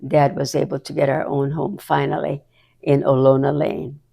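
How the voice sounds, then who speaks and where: A woman's voice: low voice, little pitch movement, moderate pace, vocal fry, mellow, slightly rough. A woman in her nineties with a Hawaiian accent, chatting and reminiscing as an interview guest, laughing often. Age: 90s. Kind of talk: reminiscing conversation.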